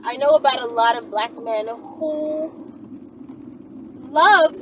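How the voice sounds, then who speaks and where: A woman's voice: high-pitched, slow. A woman talking casually and off the cuff to her viewers, emphatic and often checking that they agree. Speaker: video channel host answering viewer questions. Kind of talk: casual unscripted talk to viewers. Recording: distant mic, clear background noise.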